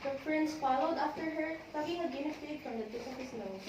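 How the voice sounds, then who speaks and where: High-voiced woman narrating in an echoing room. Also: a little fast, a little mellow. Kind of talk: scripted storybook narration in amateur readers' theater. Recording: very echoey room, distant, noisy.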